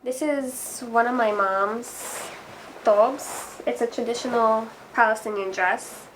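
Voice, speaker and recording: A young woman explaining, clearly and casually, why an object connects her to her heritage. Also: on the high side, slow, fairly bright tone, a little hiss or hum, low-quality recording. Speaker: interviewee. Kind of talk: casual personal testimony.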